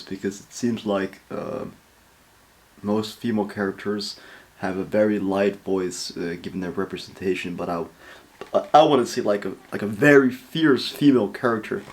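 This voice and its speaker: Excitable young man. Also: lowish voice. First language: Dutch. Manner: excitable, swears, lots of fillers. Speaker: reaction video host. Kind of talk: casual reaction commentary.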